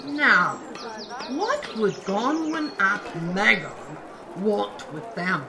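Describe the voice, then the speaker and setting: A woman's voice: mid-range voice, big pitch swings, drawn-out words, a crowd in the background, exaggerated voice. A female performer playing a sly, teasing city gate guard in a radio-style fantasy serial. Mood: wistful, bossy.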